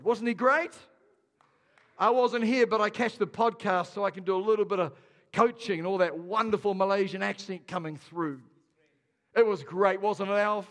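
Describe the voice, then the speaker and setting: A man preaches to his congregation with a high, lifted voice, rousing them and calling out questions as he goes. The sound carries the long reverb of a large church hall. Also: fairly bright tone. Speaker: pastor. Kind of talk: sermon.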